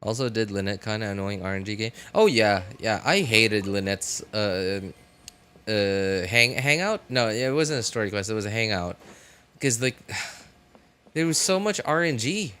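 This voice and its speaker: Low-voiced guy casually streaming games. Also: lively intonation, normal speed, bright, forceful tone, clear, resonant tone. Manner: rambling, repeats himself. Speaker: live streamer. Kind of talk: casual game livestream commentary. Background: video game dialogue and ambience.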